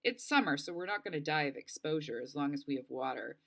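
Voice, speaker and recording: A woman's voice: sits low, expressive, fast, vocal fry, fairly bright tone, exaggerated voice. A woman voicing a practical, level-headed young camper who guides a stranded friend, in a storybook read-aloud. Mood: reassuring. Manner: matter-of-fact, reassuring. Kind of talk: storybook character voice.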